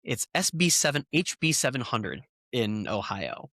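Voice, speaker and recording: A podcast host talking from home, animated and incredulous, with sarcastic asides. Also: medium pitch, unhurried, no echo, clean studio sound. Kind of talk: casual podcast chat.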